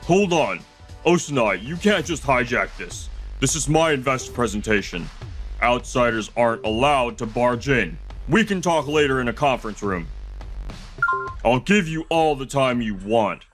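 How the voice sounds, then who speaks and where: A man voicing a scheming, panicky CEO who smooths over his lies in a manga dub. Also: mid-range voice, expressive, moderate pace, fairly bright tone, faint music behind, close to an everyday voice. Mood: indignant.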